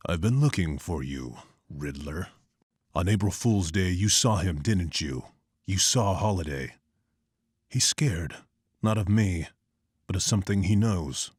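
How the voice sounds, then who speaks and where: A man's voice: low-pitched, lively intonation, a little slow, darkish tone, slightly rough, dry recording, studio quality, exaggerated voice. A man voicing a grim, brooding vigilante detective who narrates in terse, clipped lines. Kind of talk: comic narration.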